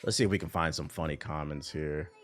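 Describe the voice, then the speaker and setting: A man's voice: extremely low pitch, fairly even intonation, a little fast, fairly bright tone. A young man livestreaming, joking loosely through reaction commentary and muttering asides under his breath. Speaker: streamer. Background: faint background music.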